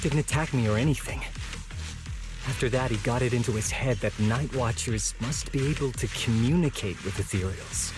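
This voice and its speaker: Man acting, game outcast, earnest, trusting, wondering, slightly hesitant, mid-pitched, backed by music. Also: moderate pace, lightly acted. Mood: puzzled, recounting. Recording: music behind the voice.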